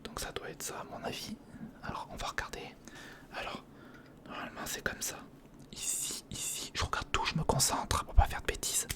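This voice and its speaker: A man speaks in a breathy whisper close to the microphone, murmuring casual hands-on ASMR commentary in fragments, as if thinking aloud. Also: normal speed. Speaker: ASMR video creator. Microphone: close recorder microphone.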